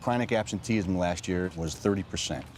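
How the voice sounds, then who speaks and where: A man's voice: low-pitched, moderate pace, darkish tone, a little hiss or hum. A man working as a school attendance officer, explaining things in an interview.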